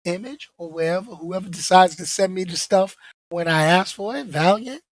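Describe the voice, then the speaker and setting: Hoarse, laid-back male podcast host. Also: high voice, normal speed, dry recording. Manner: laid-back, repeats himself, joking asides. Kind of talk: casual podcast chat.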